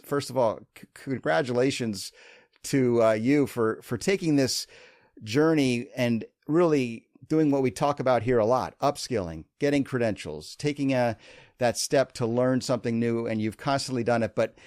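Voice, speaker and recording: A man's voice: medium pitch, darkish tone, no room sound, clean studio sound. A man hosting a podcast interview, upbeat and chatty, laughing easily. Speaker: podcast host.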